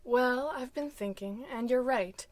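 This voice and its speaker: Hopeful, long-suffering housewife played by a woman, clearly high voice, earnest and chiding, old-time radio comedy, dry recording. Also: a little slow, no echo, close to an everyday voice. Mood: gentle, soothing. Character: patient, hopeful housewife with gently exasperated temper.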